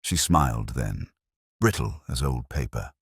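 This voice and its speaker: Guy doing a super deep weary detective telling his case, brooding and measured, pitch swings about, dry close sound. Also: expressive, breathy edge, no echo, full character voice. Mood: grave, observant. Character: weary, introspective male English police detective recounting a case. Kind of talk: scripted first-person detective story narration.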